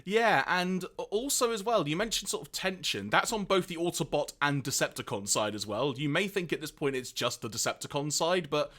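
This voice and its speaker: A man co-hosting a podcast in casual chat, his voice slightly hoarse, animated and chatty with big swings in pitch. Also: moderate pace, few pauses. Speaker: podcast co-host.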